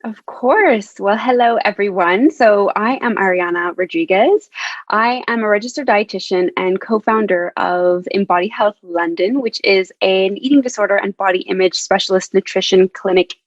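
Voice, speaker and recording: A woman's voice: mid-range voice, normal speed, no echo. Upbeat Canadian woman on a dull, dry line. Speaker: dietitian, podcast guest. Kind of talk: casual interview talk.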